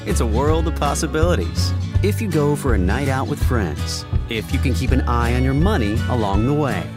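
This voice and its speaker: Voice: medium pitch, lively intonation, normal speed. A commercial announcer reading a scripted bank advertisement over music. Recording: loud music under the voice.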